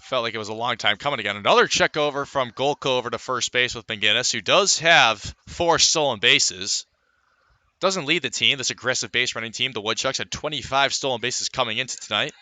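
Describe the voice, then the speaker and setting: A man's voice: medium pitch, brisk, sharp tone, dry recording. A man calling live baseball play-by-play in a radio commentary style, filling gaps with player statistics.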